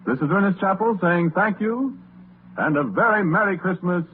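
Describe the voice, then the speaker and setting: A man's voice: high-pitched, animated, moderate pace, a little hiss or hum. An American man working as a polished, formal radio announcer, reading a 1930s sponsor announcement. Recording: narrow-band 1930s radio broadcast. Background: loud clear music.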